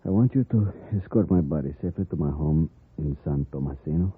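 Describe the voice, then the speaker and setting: An actor playing a dignified, stoic dying Mexican don, speaking formally and solemnly in an old radio western. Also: male voice, extremely low pitch, exaggerated voice. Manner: formal, solemn, deliberate wording. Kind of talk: 1950s radio western acting. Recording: muffled, rough old radio recording.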